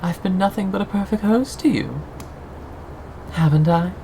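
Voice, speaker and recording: A performer in an intimate ASMR roleplay voicing a possessive, sweetly menacing captor, coaxing and teasing with pauses and sighs. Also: mid-range voice, gravelly, mellow, a little hiss or hum, lightly acted, low-quality recording. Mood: wounded, condescending.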